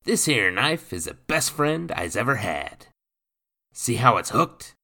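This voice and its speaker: A man putting on a swaggering rogue with a rough lilt, gravelly and bright-edged. His talk swings up and down with lively, scheming confidence, loud and pleased with himself, like a storybook thief. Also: mid-range voice, slow pace, voice pushed up, exaggerated voice. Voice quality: gravelly, swaggering, ungrammatical dialect. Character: roguish scheming male thief, cocky, adult.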